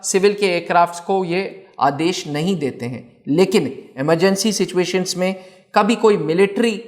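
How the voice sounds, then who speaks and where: A man presenting an educational explainer as an instructor, addressing students with emphatic, deliberate phrasing and repeating words for stress. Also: high-pitched. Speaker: instructor presenting a defence exam channel.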